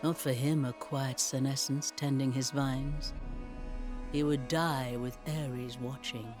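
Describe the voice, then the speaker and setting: A man's voice: medium pitch, fairly even intonation, slow, over music, close to an everyday voice. A man playing an erudite storyteller in a video game, narrating ancient Greek wars with wry, theatrical deliberation. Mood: solemn, reflective. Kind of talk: dramatic scripted narration.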